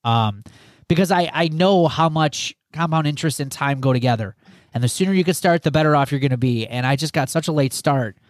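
Podcast host, male, a bit higher voice, loose rambling delivery, dry room. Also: brisk, no echo. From United States. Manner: rambling, many restarts and "you know". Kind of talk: casual podcast chat.

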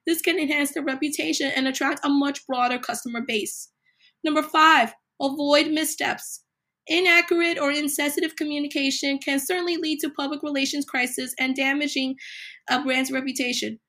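A woman hosting a solo podcast, a little hoarse from a cold, reading numbered points with emphasis. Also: sits high, steady tone, normal speed, bright, forceful tone. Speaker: podcast host.

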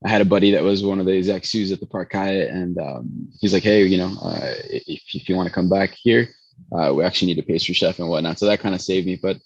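A man's voice: sits low, fast talker, slightly rough, dry recording, dull audio. A man who spoke Spanish first, a pastry chef telling long rambling stories full of restarts in an interview. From born in Chicago, raised in Venezuela. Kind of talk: casual interview storytelling.

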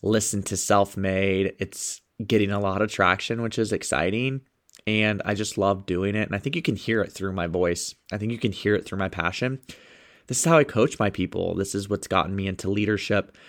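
A man's voice: on the low side, moderate pace. Congested upbeat male podcast host. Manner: upbeat, enthusiastic. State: stuffed up, sniffling. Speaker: podcast host and sales coach. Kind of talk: motivational podcast monologue.

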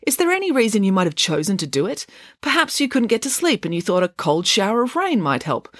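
A woman's voice: mid-range voice, big pitch swings, fast, no room sound, studio quality, pitched above the performer's own voice. A woman voicing a clever, analytical schoolgirl detective in an audiobook, blunt and matter-of-fact.

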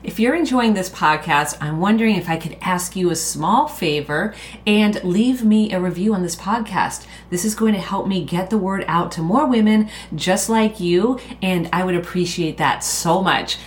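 A woman's voice: fairly low pitch, moderate pace. A woman hosting a podcast, reading a polite and earnest request for reviews. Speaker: podcast host. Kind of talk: read promo appeal.

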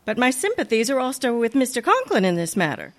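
A woman playing a wry, sensible but soft-hearted schoolteacher in an old-time radio comedy, gently admonishing. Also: a little high, big pitch swings, fast. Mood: reproving.

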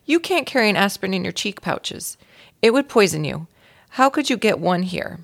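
A timid aunt mouse from a storybook, voiced by a woman, frets in a quavering voice. It sits higher than the reader's usual low pitch. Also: sing-song, moderate pace, creaky. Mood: fearful.

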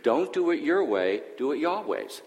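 A man's voice: on the high side, very animated, measured pace, a little mellow, big-room sound, highs cut off. A man born in Greece sharing his personal testimony at a church, talking conversationally with hesitant fillers.

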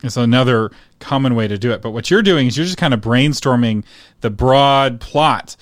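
A man's voice: medium pitch, moderate pace, no room sound, studio quality. A man hosting an interview, explaining points emphatically and laughing easily. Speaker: interviewer host. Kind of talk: interview with coaching asides.

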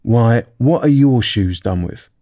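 Guy doing a gruff storybook griffin, dark mellow tone, solemn n impatient. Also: medium pitch, expressive, unhurried, no room sound, studio quality. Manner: solemn, brusque.

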